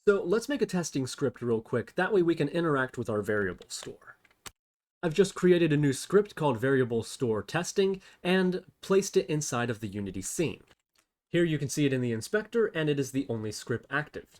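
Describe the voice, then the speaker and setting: A man's voice: fairly high pitch, expressive, normal speed. Man thinking aloud through a coding tutorial. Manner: thinks aloud step by step while typing. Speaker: programming tutorial host. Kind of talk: unscripted screen-recorded coding tutorial.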